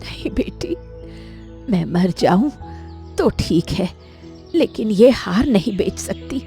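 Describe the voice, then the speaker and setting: Woman acting a slow, weary, ailing old mother. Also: mid-range voice, expressive, dark tone. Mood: solemn, firm. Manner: weary, solemn, cautioning. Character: frail, ailing elderly mother, gentle but firm. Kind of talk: dramatic animated story acting. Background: music.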